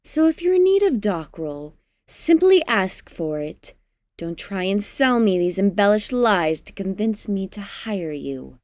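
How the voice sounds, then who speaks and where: A woman playing a regal, wry queen in an audio drama, with a measured, haughty and teasing manner. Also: medium pitch, sing-song, darkish tone, no room sound. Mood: condescending, stern. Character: regal, wry queen, fond but condescending.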